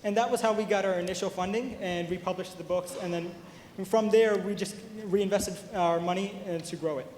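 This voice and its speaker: A young man from Pakistan presents to judges in a high-pitched voice. He speaks as a student pitching a venture. The sound is echoey, with a long hall reverb and faint noise. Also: fairly even intonation, a little fast, darkish tone. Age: young adult. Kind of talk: rehearsed pitch and question answering. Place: large indoor event hall.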